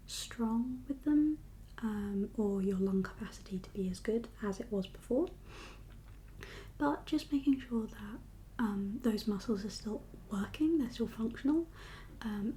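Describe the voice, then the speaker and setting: A woman whispering an ASMR medical roleplay as a kindly doctor, gentle and reassuring in an unhurried, professional way. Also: medium pitch, animated, slight background noise. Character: kindly doctor for undead patients. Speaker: ASMR performer playing a doctor.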